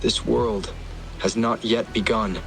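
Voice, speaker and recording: An actor voicing a solemn, enigmatic man in a video game, speaking gravely and deliberately. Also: on the low side, little pitch movement, low-quality recording. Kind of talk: dramatic scripted game narration. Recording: music behind the voice. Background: music.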